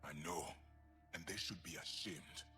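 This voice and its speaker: An actor playing a distrustful, anguished alien soldier in a video game, speaking in a halting, dramatic way. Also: male voice, deep voice, bright, forceful tone, soft background music, exaggerated voice. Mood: bitter, accusing. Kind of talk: video game cutscene acting.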